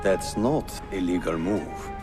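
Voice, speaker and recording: An actor voicing a calm, dignified Ottoman prince and uncle in a video game, measured and courteous. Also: low-pitched. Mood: mildly reproving. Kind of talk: dramatic video game cutscene acting. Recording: music behind the voice.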